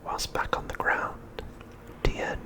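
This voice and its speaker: Slow whispering man, ASMR narration. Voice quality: breathy unvoiced whisper. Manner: soft, deliberate, with frequent pauses between phrases.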